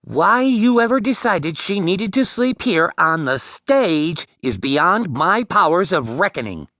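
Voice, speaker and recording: A man's voice: sits high, sing-song, unhurried, sharp tone, dry recording, clean studio sound, exaggerated voice. A man playing an exasperated, blustering stage manager in a full-cast audiobook, indignant and sputtering.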